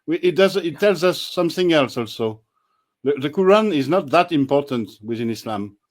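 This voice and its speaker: A blunt, firm man who explains points in a measured way. His voice sits a little high, and he speaks as a guest researcher on a casual panel. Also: animated.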